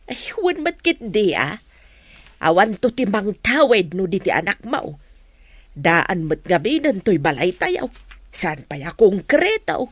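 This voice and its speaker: A woman's voice: mid-range voice, sing-song, measured pace, no echo. A woman playing an older, fretting mother in an Ilocano radio drama, exclaiming and persuading. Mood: gently nudging. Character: older mother, fretting and nudging her grown son.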